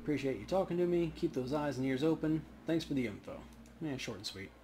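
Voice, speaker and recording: A man's voice: fairly high pitch, animated, fast talker, dark tone. A man hosting a let's play, giving casual running commentary on the game. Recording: faint background noise.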